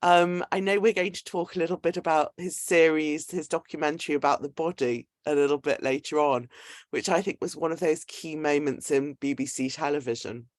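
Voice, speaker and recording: A woman's voice: sits low, fairly even intonation, a little fast, no room sound. A woman hosting a talk and introducing a guest in an articulate, explanatory way. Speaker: host introducing a guest speaker. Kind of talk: semi-scripted event introduction.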